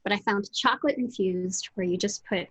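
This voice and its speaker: Chatty woman with a slightly husky voice. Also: mid-range voice, moderate pace. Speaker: podcast co-host, author. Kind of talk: casual book chat. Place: small room at home. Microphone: video call.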